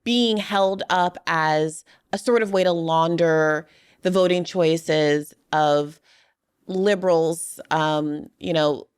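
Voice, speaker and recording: Smooth, lower-voiced woman interviewer. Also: slow pace. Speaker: podcast host, interviewer. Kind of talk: political interview.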